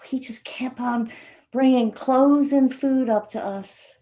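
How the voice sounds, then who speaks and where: A woman's voice: high voice, steady tone, takes it slowly, dark tone. A woman acting a wistful, plain-spoken hill-country sister in a stage play, with an Appalachian accent. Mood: wistful, fond. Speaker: actor in a one-act play. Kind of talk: stage play dialogue, reminiscing.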